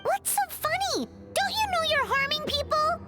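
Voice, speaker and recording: Super squeaky little game critter, indignant n blunt, swoopy pitch, music behind. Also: female voice, expressive, moderate pace. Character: small indignant high-voiced companion creature. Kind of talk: animated video game voice acting.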